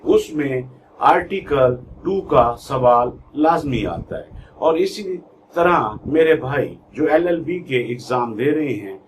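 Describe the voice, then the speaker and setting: A man with a Pakistani accent giving an instructional lecture in a deliberate, emphatic way, repeating key terms. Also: medium pitch. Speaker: law lecturer, YouTube channel host. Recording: faint background noise.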